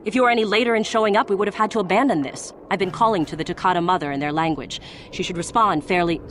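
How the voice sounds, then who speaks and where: An actress playing a haughty, treacherous young Sith student in a video game, with a curt, condescending manner. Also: female voice, medium pitch, quick pace, slight background noise, lightly acted. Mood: smug, impatient. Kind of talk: video game villain acting.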